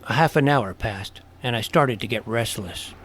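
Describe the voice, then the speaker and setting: A man's voice: medium pitch, normal speed, fairly bright tone, slight background noise, lightly acted. An actor playing a wry twelve-year-old boy detective who narrates his own adventure in an audio drama. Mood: wry, watchful. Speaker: narrator and lead. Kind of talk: scripted first-person story narration. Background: clear music.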